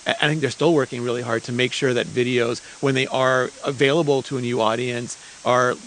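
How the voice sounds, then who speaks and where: A man's voice: medium pitch, fairly even intonation, brisk, a bit bright, low-quality recording. Enthusiastic casual male interviewer, faint hiss. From Montreal, Canada. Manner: informal, enthusiastic agreement. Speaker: interviewer and creator liaison.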